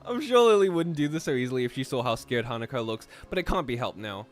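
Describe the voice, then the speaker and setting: Animated man reading a visual novel aloud. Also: mid-range voice, quick pace, soft background music. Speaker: host of a gaming stream. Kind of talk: casual group playthrough, reading visual novel narration aloud.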